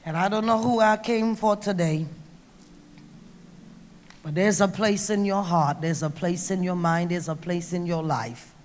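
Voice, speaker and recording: A middle-aged woman from Trinidad preaching an emphatic sermon on a handheld mic, going from hushed to loud. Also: fairly low pitch, unhurried. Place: large banquet hall on stage. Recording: long hall reverb.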